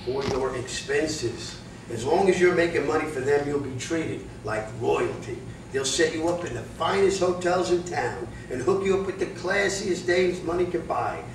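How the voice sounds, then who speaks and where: A man's voice: a little high, expressive, moderate pace. A man playing a world-weary boxing manager in a staged reading, speaking bluntly and matter-of-factly as he lectures his fighter. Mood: blunt, resigned. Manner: blunt, cautionary, matter-of-fact. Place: live staged reading in a roomy indoor space. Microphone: distant video camera. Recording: echoey, noisy room, distant camera microphone.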